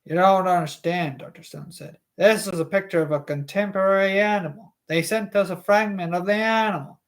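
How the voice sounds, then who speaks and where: A woman reading the lines of a serious, stubborn male doctor in a flat, matter-of-fact way. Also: mid-range voice, a little fast, lightly acted.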